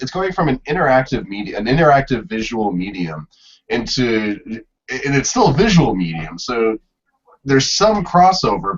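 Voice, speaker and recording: A man's voice: medium pitch, breathy edge. A man speaking as a guest in a casual panel discussion, tentatively thinking aloud in fragments. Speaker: podcast guest. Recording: dull lo-fi podcast audio.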